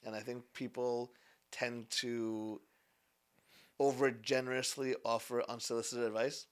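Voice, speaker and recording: A man's voice: mid-range voice, level tone, unhurried, clean tone. A man in his twenties, a business founder talking as a podcast guest, earnest and rambling with many restarts. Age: 20s. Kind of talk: casual podcast conversation.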